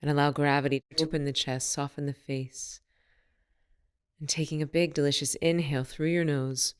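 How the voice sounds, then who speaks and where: A woman leading a guided meditation as a teacher, speaking in a soothing, unhurried and gently instructive manner. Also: sits low, fairly even intonation, clear, resonant tone.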